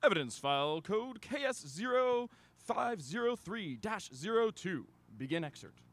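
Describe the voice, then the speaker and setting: A man's voice: high voice, very animated, a little slow, fairly bright tone, slight background noise, exaggerated voice. A man playing an earnest agent presenting evidence files in a radio drama, official and matter-of-fact. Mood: formal. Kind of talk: science fiction radio drama acting.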